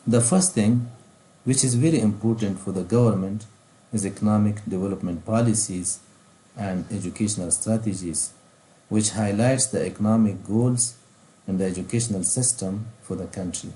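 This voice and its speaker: A middle-aged man with an Afghan accent reads out a formal training lecture in a deliberate, phrase-by-phrase way. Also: fairly low pitch, dark tone, low-quality recording. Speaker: vocational training specialist. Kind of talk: scripted lecture narration over slides. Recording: faint background noise.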